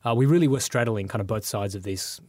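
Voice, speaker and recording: A man born in Sri Lanka and raised in Australia, speaking as an interview guest. He talks reflectively and thoughtfully, often pausing with um and uh. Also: on the low side, darkish tone, no room sound, clean studio sound. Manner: thoughtful, with frequent um and uh.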